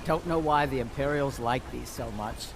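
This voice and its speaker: Fantasy game orc blacksmith, male actor: skeptical, gruff, medium pitch, noisy ambience behind. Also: normal speed, natural voice. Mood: gruff, dismissive. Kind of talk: fantasy video game dialogue.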